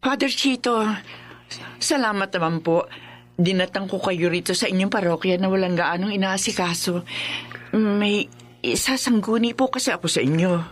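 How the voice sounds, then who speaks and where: A woman's voice: medium pitch, moderate pace, pauses often, close to an everyday voice. Actress as a concerned, earnest Filipino mother. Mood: worried, respectful. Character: concerned, respectful mother of a grown married daughter. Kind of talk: Filipino horror radio drama acting.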